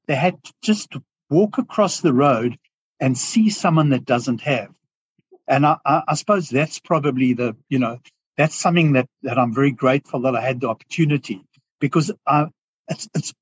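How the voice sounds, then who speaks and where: Earnest South African man, husky voice. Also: on the high side, no room sound, highs cut off. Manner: earnest, reflective, halting with restarts. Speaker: guest business owner. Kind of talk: podcast interview.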